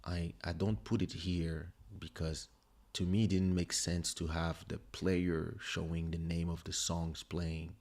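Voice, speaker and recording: A man with a French accent, a native French speaker, hosting a livestream listening party in relaxed, rambling casual chat with many fillers. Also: deep voice, a little slow. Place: home streaming setup. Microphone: studio desk microphone.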